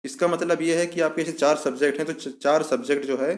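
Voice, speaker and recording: A man with a slightly high voice. He explains official details step by step like an online instructor, restating key points so they stick. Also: fairly even intonation, fast talker. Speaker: exam information channel host. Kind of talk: explanatory walkthrough of a recruitment notice.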